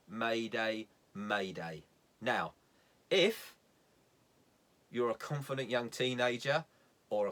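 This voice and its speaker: A man's voice: fairly low pitch, fairly bright tone. A middle-aged man giving an informal safety lesson, explaining step by step in an emphatic, plain-spoken way. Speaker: sailing safety instructor. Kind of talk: informal instructional talk.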